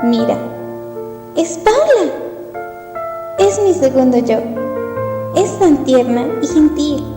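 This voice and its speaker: A woman as a high, echoey, sweet eerie companion. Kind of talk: scripted analog horror narration. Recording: echoey hall reverb, music behind.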